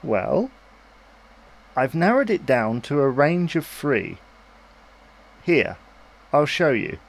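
An actor playing a patient, reasonable husband in a domestic audio drama, with a gentle, reassuring, persuasive manner. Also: male voice, on the high side, sing-song, slow pace, gravelly, full character voice. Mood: coaxing. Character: Harry, patient, reasonable husband and new father, coaxing.

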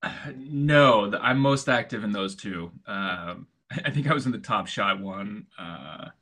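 Laid-back American man, bright voice. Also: lowish voice, expressive, unhurried. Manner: laid-back, chuckles often, many restarts. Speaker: guest. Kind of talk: casual conversation. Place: home in Istanbul.

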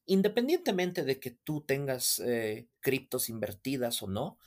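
A man with a mid-range voice guests on a casual podcast. He explains things in a measured, patient way and fills his pauses with "eh". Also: medium pitch.

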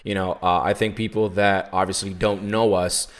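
A young adult man co-hosting a podcast in casual chat, laid-back and quick to laugh. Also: deep voice, level tone. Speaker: podcast co-host.

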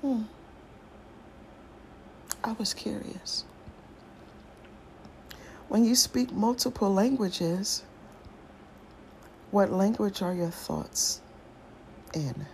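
A woman's voice: medium pitch, drawn-out words, long pauses, darkish tone, a little hiss or hum. A woman hosting a casual solo podcast, talking in a loose, musing way with slang and thinking-aloud restarts. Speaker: podcast host.

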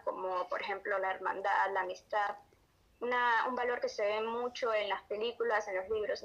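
A woman's voice: mid-range voice, a bit bright. A woman with a Honduran accent answering casually as an interview guest who writes as an amateur. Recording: dull lo-fi audio.

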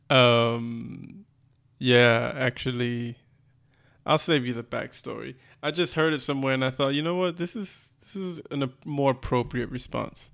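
A man co-hosting a podcast, talking in a relaxed, wry and slightly rambling way. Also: medium pitch, a little slow, vocal fry, pauses often. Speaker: podcast co-host. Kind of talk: casual podcast chat.

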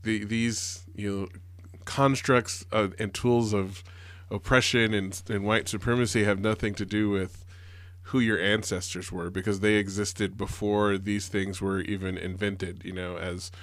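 A man's voice: on the low side, steady tone, measured pace, fairly bright tone, dry recording. A man, a teacher, talking earnestly in a rambling way full of fillers. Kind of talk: casual conversation.

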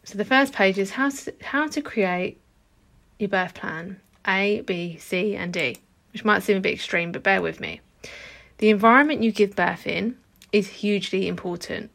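A woman in her thirties with a croaky voice, hosting a casual solo podcast as a hypnobirthing teacher in a chatty, informal way. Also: medium pitch, normal speed, fairly bright tone.

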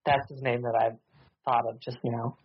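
A man's voice: mid-range voice, little pitch movement, normal speed, muffled recording, noisy background. A man narrating as game master over a voice call, hesitant with many ums and restarts.

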